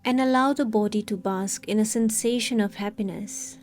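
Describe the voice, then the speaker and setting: Mellow-voiced woman guiding a meditation. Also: medium pitch, faint music behind. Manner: calm, soothing, unhurried with long pauses. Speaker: meditation guide. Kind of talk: guided meditation, scripted.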